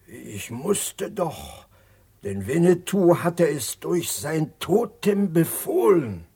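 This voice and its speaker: Very husky, gravelly old tribesman. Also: on the high side, sing-song, slow, dark tone, full character voice. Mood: solemn. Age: older. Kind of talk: German radio drama acting.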